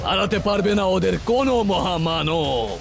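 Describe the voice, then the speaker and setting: Gloating, high-pitched cartoon villain with gravelly edge. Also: male voice, animated, over music, heavily acted. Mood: gloating, taunting. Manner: taunting, boastful, theatrical, drawn-out delivery. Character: gloating male cartoon villain commanding robotic monsters.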